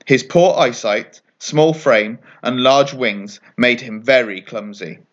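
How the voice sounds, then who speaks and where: A man reading a children's bedtime story aloud as an expressive narrator. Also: medium pitch, slow, highs cut off.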